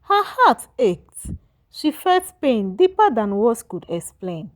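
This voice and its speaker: A woman's voice: fairly high pitch, sing-song, slow. A woman narrating a scripted folktale as a storyteller, with dramatic, emphatic delivery.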